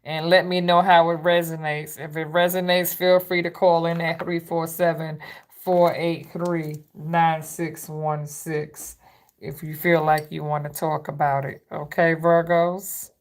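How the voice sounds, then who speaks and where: A woman's voice: low-pitched, little pitch movement, unhurried. A woman in her fifties from East Harlem with a New York accent, hosting a live call-in reading in an easygoing, chatty way. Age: 50s. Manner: easygoing, chatty, uses "girl". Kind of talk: casual live-stream call-in chat.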